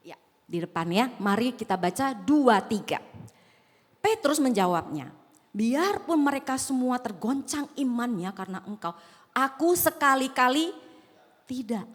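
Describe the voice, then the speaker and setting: A woman's voice: fairly high pitch, expressive, unhurried. Woman pastor, slight Javanese accent, echoing hall. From Sumatra, Indonesia. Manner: conversational, asks the congregation questions. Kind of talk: sermon. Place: large auditorium.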